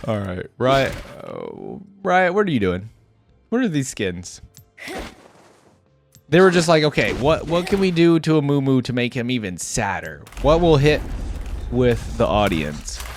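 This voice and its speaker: A man's voice: mid-range voice, wildly swooping pitch, a little slow, gravelly, faint music behind. A man giving casual, off-the-cuff reaction commentary, like a video creator talking through what he sees.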